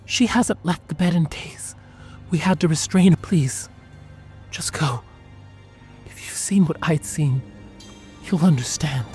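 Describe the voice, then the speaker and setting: A man voicing an anguished, frightened mother in a horror story, bitter and pleading in a hushed, breathy voice. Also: fairly high pitch, unhurried, pauses often, pitched above the performer's own voice, lightly acted. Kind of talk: dramatic horror audiobook dialogue. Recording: music under the voice.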